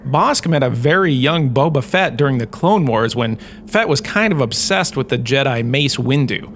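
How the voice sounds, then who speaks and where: A theatrical, glib professor acted by a man delivers a comic lecture. His mid-pitched voice swings expressively, with wry and chatty asides. There is faint noise behind him. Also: expressive, moderate pace, fairly bright tone. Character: glib, theatrical male hologram university lecturer. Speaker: host.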